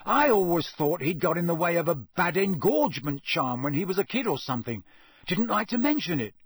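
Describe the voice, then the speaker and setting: A man voicing a blunt, easily flustered teenage boy in a storybook, with emphatic, drawn-out phrases. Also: a little high, sing-song, husky, no room sound, studio quality, voice pushed up. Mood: uneasy, defensive.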